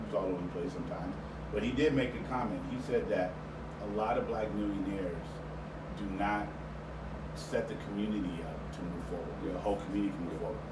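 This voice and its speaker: A man's voice: fairly low pitch, fairly even intonation. A man hosting a casual interview, talking conversationally and often repeating words as he works toward his point. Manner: halting, repeats words while forming questions. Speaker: interview host. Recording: roomy, distant mic, noisy background.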